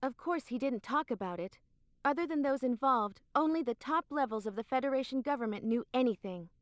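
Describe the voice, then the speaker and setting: A woman's voice: high voice, animated, fairly quick. An actress playing a composed, secretive young woman in a video game cutscene, with a cool, matter-of-fact delivery. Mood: grave. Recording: roomy, faint game music behind.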